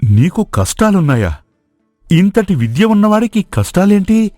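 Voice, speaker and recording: A man's voice: high-pitched, very animated, moderate pace, warm tone, no echo. A man playing a frail, noble old man in a moral story, speaking earnestly and solemnly. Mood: kindly, encouraging. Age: older. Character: frail, starving old man lost in forest, grateful and noble. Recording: voice over background music.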